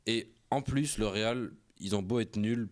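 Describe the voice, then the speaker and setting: A man co-hosting a podcast, arguing his point on football rules in casual debate, with halting fillers. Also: lowish voice. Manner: argumentative, reasoned, hesitant with fillers. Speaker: podcast co-host.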